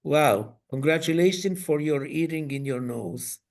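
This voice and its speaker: A man leading an online coaching webinar, speaking in slow, halting phrases. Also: on the high side, lively intonation, vocal fry. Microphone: video call.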